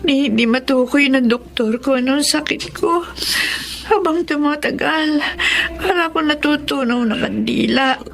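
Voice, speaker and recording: Dying filipino wife in radio drama, slow creaky frail voice, resigned. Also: female voice, sits high. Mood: resigned, weak. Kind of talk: Filipino radio melodrama acting. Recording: loud music under the voice.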